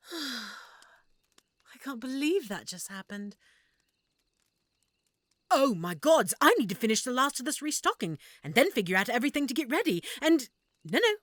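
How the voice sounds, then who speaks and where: A woman voicing a shy, flustered half-elf shopkeeper who stammers softly and nervously. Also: high-pitched, very animated, measured pace, long pauses, no echo, heavily acted. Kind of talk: audio roleplay acting.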